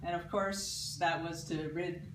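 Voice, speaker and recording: A woman from Paterson, New Jersey, loudly telling a personal story on stage to a live audience. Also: lowish voice, steady tone, unhurried, slight background noise. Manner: loud. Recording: roomy, distant mic, audible ambience.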